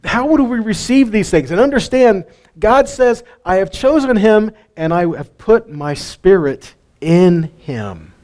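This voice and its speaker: A middle-aged man with a drawl walks through a Bible passage in a relaxed, folksy manner. He keeps asking his listeners questions and chuckles between points. His voice sits a little higher than most men's. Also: unhurried. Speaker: Bible teacher. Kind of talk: casual Bible teaching.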